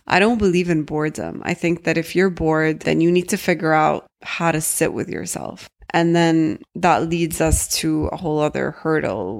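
A young woman, hosting a solo podcast in a chatty, candid way and mixing Arabic phrases into her English, her voice a little rough from an early morning. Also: low voice. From Egypt. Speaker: podcast host.

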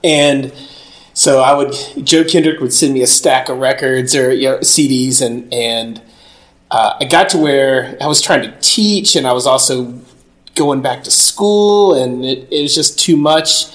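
A man's voice: mid-range voice, unhurried, a bit bright. A middle-aged man, a guest musician telling stories in casual conversation. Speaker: guitarist in a band, podcast guest. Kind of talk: casual storytelling chat. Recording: roomy, echoey sound.

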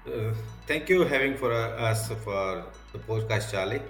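A man's voice: on the low side, normal speed, echoey room, faint music behind. A man from India with an Indian accent, talking casually as an interview guest. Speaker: podcast guest, software tester.

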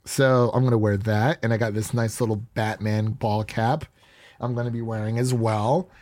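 A man hosting a podcast in casual chat, his voice raspy and stuffy from allergies, rambling with restarts. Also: fairly low pitch, steady tone, moderate pace, a little mellow. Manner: chatty, rambling with frequent restarts. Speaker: podcast host.

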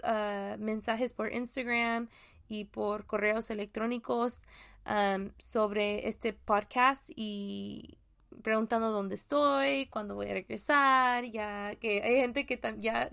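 A woman from Mexico hosting a casual solo faith podcast, talking in a halting way with many fillers and restarts. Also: a little high, gravelly, fairly bright tone. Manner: chatty, laughs at own jokes. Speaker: podcast host.

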